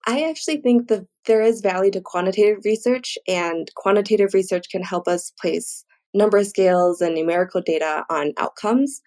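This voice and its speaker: A woman's voice: medium pitch, moderate pace, hardly any pauses, a little mellow. A woman speaking as an expert guest in an interview, explaining academic research in structured, articulate points with examples.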